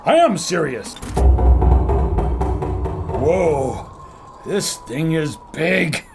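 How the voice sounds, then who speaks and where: An actor playing a brash, excitable showman cameraman with a comic streak in a retro adventure radio drama. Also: fairly high pitch, extremely slow, creaky, loud music behind the voice, full character voice. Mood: nervous. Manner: brash, self-promoting.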